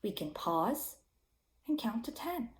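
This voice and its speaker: Very animated woman reading to young children. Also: on the high side, slow pace, echoey room. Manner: simple, emphatic phrasing for small children. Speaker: teacher reading aloud to children. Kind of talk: expressive storybook read-aloud for young children.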